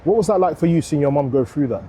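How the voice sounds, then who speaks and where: A man's voice: on the high side, lively intonation, quick pace, dark tone, slight background noise. A man asking informal, probing questions as the interviewer in a conversational interview.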